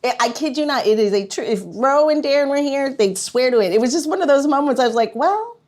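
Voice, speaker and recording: A woman's voice: high voice, expressive, fast, a little mellow. A woman hosting a casual podcast chat, telling a story and laughing often.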